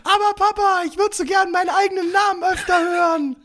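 Very high-pitched begging child. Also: male voice, fairly even intonation, fairly quick, fairly bright tone. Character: small begging child, eager and whiny.

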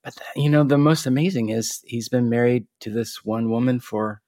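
A man's voice: mid-range voice, moderate pace, warm tone, no room sound, studio quality. A man from Guatemala answering interview questions in an easygoing, modest way. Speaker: guest theologian.

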